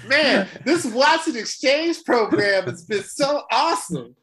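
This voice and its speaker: Improv comedy sidekick, acted by a man: chatty, starstruck exchange student, breezy slang, bright forceful tone. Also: very high voice, lively intonation, unhurried, voice pushed up, full character voice. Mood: gushing. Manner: gushing, breezy, casual American slang. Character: chatty, gushing exchange-student Watson, easily impressed sidekick.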